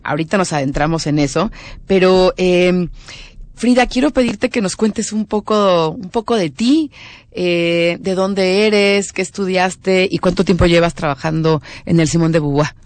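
A woman's voice: fairly low pitch, measured pace, darkish tone, no echo. A woman with a Mexican accent hosting a radio show in a conversational interview style.

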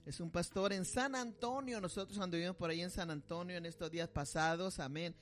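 A man's voice: sits high, fairly quick, a bit bright. A man preaching and commenting on a video, emphatic and dropping amen between phrases.

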